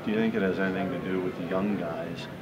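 A man's voice: sits low, steady tone, fast talker, muffled recording. A man putting questions as a sports reporter in a postgame interview. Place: indoor arena media area. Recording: noisy recording with people around.

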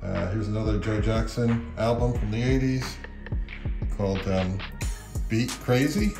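A man's voice: lowish voice, measured pace, music in the background. A man in his fifties talking casually and offhandedly, like a host of a home product review vlog. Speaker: channel host, record collector. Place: indoors at home, living room and kitchen.

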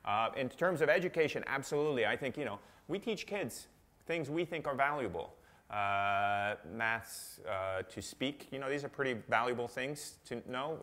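A man who grew up in Italy, answering audience questions in a live talk in a conference room, animated and acting out quotes. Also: medium pitch. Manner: animated, acts out quotes in shifting voices. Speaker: guest speaker.

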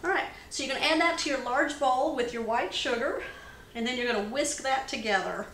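A woman's voice: sits high, fairly quick, a bit bright, a little hiss or hum. A woman hosting a home cooking demonstration in her kitchen, chatty and upbeat, talking to viewers as y'all. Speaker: cooking show host. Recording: echoey kitchen.

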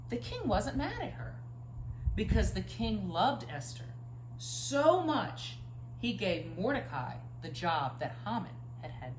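A woman in her thirties reads a children's Bible storybook aloud expressively, leaning on key words, recorded indoors a few feet from the camera. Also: mid-range voice. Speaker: story reader. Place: living room, indoors, by a stone fireplace. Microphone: camera a few feet away. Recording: roomy echo, faint room noise.

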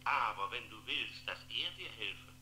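An actor playing an earnest Protestant preacher, solemn and declamatory, heard through a telephone in a 1960s radio play. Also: male voice, mid-range voice, expressive, moderate pace, crowd noise. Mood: solemn exhorting. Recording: echoey, noisy, humming telephone line.

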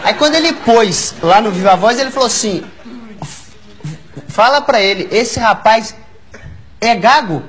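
A Brazilian man in his thirties with a stutter, telling a comic anecdote haltingly and repeating words as a talk show guest. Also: high-pitched, animated, soft background music. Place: large TV studio with audience. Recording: lo-fi TV studio tape, loud audience noise. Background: loud audience laughter.

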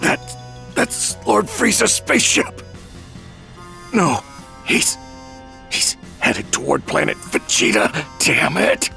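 Hot-blooded anime game fighter dude, harsh bright gravelly voice, really intense and desperate. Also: male voice, a little high, very animated, takes it slowly, pauses often, soft background music, exaggerated voice. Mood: angry disbelief. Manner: intense, forceful exclamations. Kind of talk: English anime game dub acting.

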